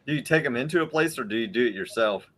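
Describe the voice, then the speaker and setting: A man's voice: mid-range voice, lively intonation, quick pace, fairly bright tone. A man co-hosting the show, joking and asking curious questions in casual chat. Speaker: co-host.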